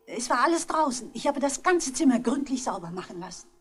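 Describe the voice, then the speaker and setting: A woman's voice: high-pitched, big pitch swings, fairly quick. An older woman playing a genteel, cunning, miserly old lady in a 1960s radio crime drama, with theatrical phrasing. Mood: evasive.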